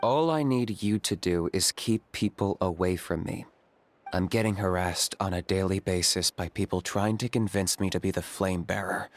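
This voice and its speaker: An actor playing a cool-headed young male hunter for hire in a video game, calm and matter-of-fact. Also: deep voice. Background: game music.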